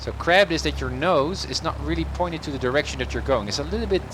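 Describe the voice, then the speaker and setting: A man's voice: fairly high pitch, fast talker, background noise. A man who is a non-native English speaker, chatting casually on a livestream as an affectionate, encouraging flight coach. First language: not English. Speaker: flight sim streamer and informal flight instructor.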